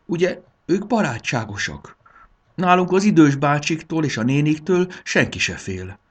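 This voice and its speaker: A man's voice: a little high, sing-song, pitched above the performer's own voice. A man voicing a timid, worried little girl in a children's storybook, with a whimpering, pleading manner. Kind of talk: dramatized children's storybook reading.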